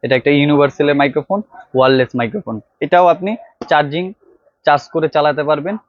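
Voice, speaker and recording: A man with a Bangladeshi Bengali accent, a shop salesman showing products to viewers in a loose, repetitive sales pitch full of filler sounds, on a clip-on mic. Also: fast, dry recording, dull audio. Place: computer accessories shop. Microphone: clip-on tie microphone.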